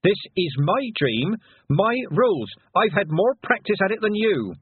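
A man's voice: high voice, huge pitch swings, fast talker, breathy edge, narrow, tinny audio, voice pushed up, full character voice. A man voicing an earnest, logical young girl in a storybook, with a precise, assertive, pedantic manner. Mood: defiant.

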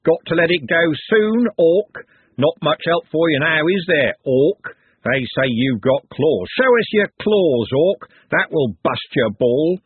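A man voicing a sneering, brutish male footballer who taunts his opponent in a husky voice. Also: on the high side, animated, takes it slowly, no room sound, voice pushed up, exaggerated voice. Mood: taunting. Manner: taunting, goading. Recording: tinny phone-like band.

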